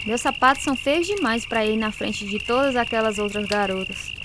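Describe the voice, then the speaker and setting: Actress as higher-voiced woman telling her story. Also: brisk, background noise, natural voice. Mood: hesitant. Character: woman recounting her troubled youth, also as earnest teenager. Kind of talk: scripted dramatized narration.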